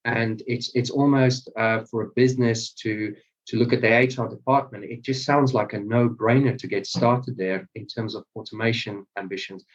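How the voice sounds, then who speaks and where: A man co-hosting an interview, talking casually and halting, often repeating words as he thinks. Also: medium pitch, fairly even intonation. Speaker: podcast co-host. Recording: distant, dull lo-fi sound.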